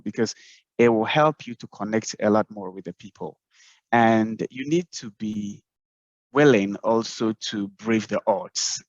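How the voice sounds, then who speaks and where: Low-voiced man on a muffled call. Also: no room sound. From Ghana. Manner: measured, thoughtful, many "um"s and restarts. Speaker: communications professional guest. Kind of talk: webinar panel answer. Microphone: video call. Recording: dull muffled online call audio.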